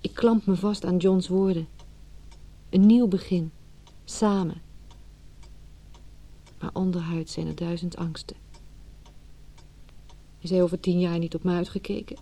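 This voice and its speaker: Breathy, anxious wife, dark-voiced. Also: female voice, fairly low pitch, fairly even intonation, unhurried, long pauses. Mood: anxious, brooding. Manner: hesitant, intimate, reflective. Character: anxious, doubting wife torn by fears. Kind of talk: radio drama acting with inner monologue.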